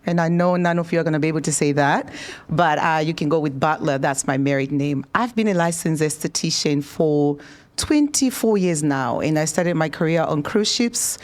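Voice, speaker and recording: A woman's voice: sits low, normal speed. A woman in her forties from Zimbabwe, a spa owner speaking on a panel to students into a handheld microphone, encouraging and chatty. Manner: warm, encouraging, chatty with restarts. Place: event room.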